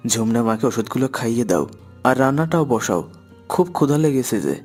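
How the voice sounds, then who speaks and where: A man's voice: mid-range voice, expressive, a little fast, darkish tone, faint music behind. A man voicing a humble, hardworking village blacksmith and father in a children's cartoon. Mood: plain, tired.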